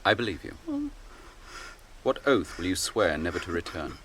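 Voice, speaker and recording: A stern, commanding captain. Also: male voice, sits low, huge pitch swings, noisy background. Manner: grave, measured and authoritative. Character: stern noble male captain of men, grave and commanding. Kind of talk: radio drama acting.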